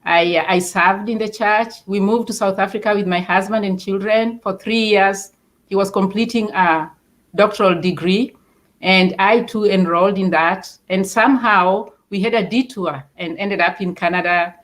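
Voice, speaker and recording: A woman from Kenya with a Kenyan accent, a guest author calmly telling her life story in an interview. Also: medium pitch, normal speed.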